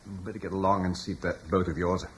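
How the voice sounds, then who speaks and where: An actor playing a calm, resolute lord giving orders and standing firm, in an old radio drama. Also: male voice, deep voice, lightly acted. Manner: measured, resolute, dryly ironic. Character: steadfast Romano-British lord, calm, dutiful. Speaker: lord of the household. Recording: old broadcast with faint hiss.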